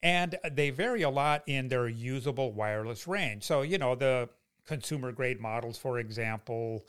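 A man's voice: medium pitch, moderate pace, a bit bright, clear, resonant tone. A man hosting a solo tech podcast in a semi-scripted, conversational way with folksy asides. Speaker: podcast host.

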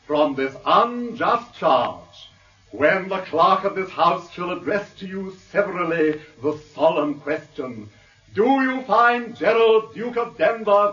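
An actor playing an eloquent defence barrister, declaiming a grandiloquent, theatrical courtroom oration in a radio drama. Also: male voice, sits high, fairly bright tone, full character voice. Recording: muffled, distant radio drama recording.

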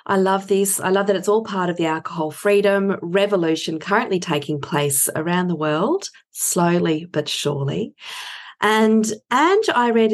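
Chatty middle-aged woman hosting a podcast. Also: fairly low pitch. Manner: chatty, frequent affirming interjections. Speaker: podcast host. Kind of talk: casual podcast interview.

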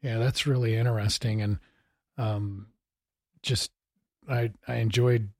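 A man's voice: fairly low pitch, fairly even intonation, a little slow, dry recording, clean studio sound. An American man hosting a casual interview, with an easygoing, folksy way of asking questions. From Missouri, United States. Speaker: interviewer, host.

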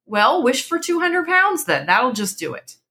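A woman puts on a teasing young man from a storybook. His lines come bright and pitched up, with playful mockery and cheeky scepticism. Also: very animated, unhurried, heavily acted. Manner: mocking, playful.

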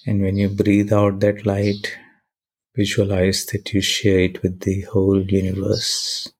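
A man leading a guided meditation and prayer in measured, deliberate short phrases with pauses between them. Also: sits low, monotone, a little mellow, slightly rough.

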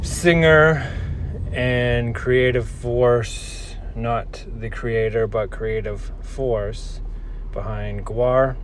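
A man of about forty talks slowly in a halting, reflective way, as if thinking aloud. It is a casual solo monologue recorded in a car, with clear road and engine noise around the voice. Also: mid-range voice, fairly even intonation. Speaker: vlogger, musician. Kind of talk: casual rambling monologue while driving. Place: inside a moving car.

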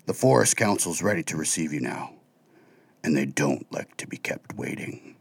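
A man voicing Saga, a gravelly, commanding guide. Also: rumbling low, sing-song, normal speed, no room sound, studio quality, exaggerated voice. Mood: brisk. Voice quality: gravelly, husky. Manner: curt, commanding. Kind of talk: children's audio drama.

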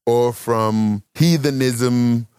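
Man, preacher, Bible teaching, very slow and measured, thinks aloud in fragments, dry close sound. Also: mid-range voice, fairly even intonation, darkish tone, clear, resonant tone, no echo. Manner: slow, questioning, thinks aloud in broken phrases.

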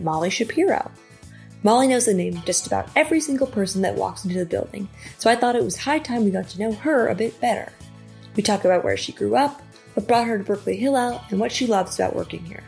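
Fast upbeat young woman host over music. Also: mid-range voice, vocal fry, a little mellow. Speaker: podcast host. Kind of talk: scripted intro narration.

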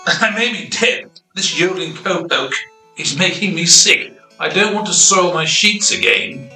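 A fussy ghost sidekick voiced by a man, prim and forever complaining in a comic radio play. The voice is high and droll, set back in an echoey room with music behind. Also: unhurried, sharp tone, distant mic, full character voice. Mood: peevish, droll.

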